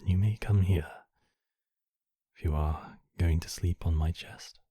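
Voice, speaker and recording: Man acting a very deep, possessive demon king. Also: fairly even intonation, no room sound, studio quality. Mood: tender, protective. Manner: formal, courtly, measured phrasing with soft breathy drops. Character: possessive demon king, courtly, menacing yet tenderly protective. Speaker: voice actor in a roleplay. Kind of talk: ASMR roleplay, slow intimate acting. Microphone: close microphone, dry.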